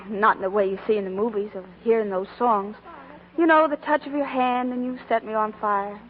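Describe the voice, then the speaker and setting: A woman's voice: medium pitch, fairly even intonation, moderate pace, a little hiss or hum, natural voice. An actress playing an earnest, plainspoken young working woman in love, with an American accent, in 1940s radio style. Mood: wistful, sincere. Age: young adult. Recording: muffled old radio broadcast with music.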